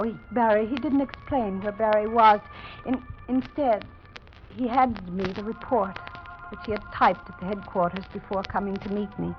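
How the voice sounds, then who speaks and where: A woman acts out a grief-stricken young heroine in a 1940s radio mystery. Her delivery is earnest and dramatic. The recording is muffled and old, with music clearly present. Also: medium pitch, close to an everyday voice.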